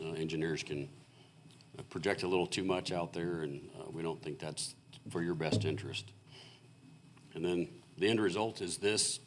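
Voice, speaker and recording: A man presenting as a consulting engineer at a city board meeting, explaining in a halting way with many fillers while he is losing his voice. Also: sits low, slight background noise. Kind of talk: technical presentation at a public meeting. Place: city council meeting room.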